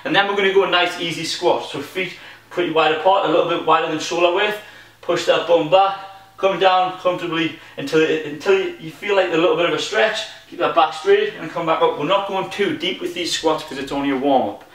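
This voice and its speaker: A young man with a thick Geordie accent, coaching a home workout from his front room, talking fast and restarting sentences when he gets excited. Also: on the high side. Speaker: fitness coach. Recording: echoey room, voice from far off.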